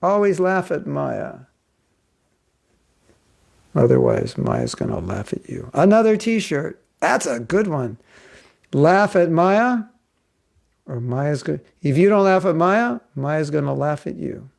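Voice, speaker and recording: A man's voice: fairly high pitch, big pitch swings, slow, vocal fry, long pauses, darkish tone. A man giving a casual, joking class as a spiritual teacher, chatty and rambling. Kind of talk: casual online class talk.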